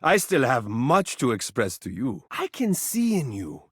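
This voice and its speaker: A male actor voicing a suave living mirror in a video game, an adoring flatterer who gushes with theatrical drama. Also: mid-range voice, a little slow, slightly rough, no echo, clean studio sound, heavily acted. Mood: awed, adoring. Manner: effusive, dramatic, gushing, doting. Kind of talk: video game character voice acting, romantic.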